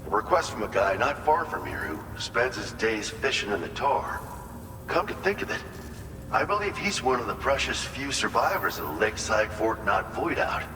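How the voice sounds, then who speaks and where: A man's voice: medium pitch, big pitch swings, normal speed, roomy sound, in a large hall, background noise. An actor playing an earnest, wistful male colonist in a video game, talking in a sincere, conversational and folksy way. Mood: businesslike, friendly. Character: earnest, wistful male colonist grieving his lost brother. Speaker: game character briefing the player. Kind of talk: cinematic video game dialogue.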